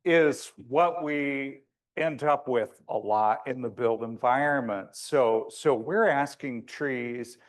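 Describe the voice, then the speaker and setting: A man's voice: mid-range voice, slow. Big-voiced man giving a conversational lecture. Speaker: landscape architect giving a presentation.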